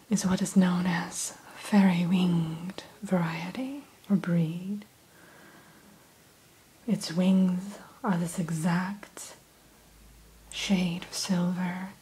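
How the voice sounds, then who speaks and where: A woman whispering softly as a dragon hatchery keeper in an ASMR roleplay, gentle and a little formal, close to the mic. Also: fairly low pitch, rough recording. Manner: soft whisper throughout; gentle, unhurried, mildly formal.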